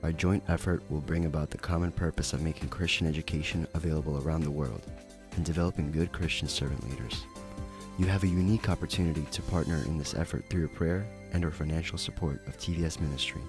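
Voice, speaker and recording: A man reading a scripted promotional voice-over as announcer, in a smooth, read style. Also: very deep voice, fairly even intonation, quick pace. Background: music.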